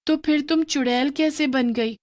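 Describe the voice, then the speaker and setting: A woman voicing a curious, scared young schoolgirl, talking high and excitedly. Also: unhurried, no echo, clean studio sound. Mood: pleading.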